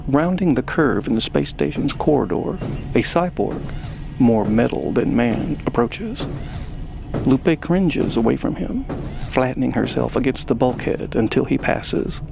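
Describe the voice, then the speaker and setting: A man hosting and narrating a science fiction story in a theatrical, deliberate storyteller's manner. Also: medium pitch, lively intonation, vocal fry, over music. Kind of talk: scripted dramatic narration.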